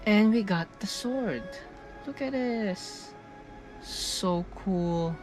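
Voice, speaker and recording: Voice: medium pitch, very slow, over music, low-quality recording. A gaming streamer reacting casually to gameplay, repeating phrases and drawing out exclamations.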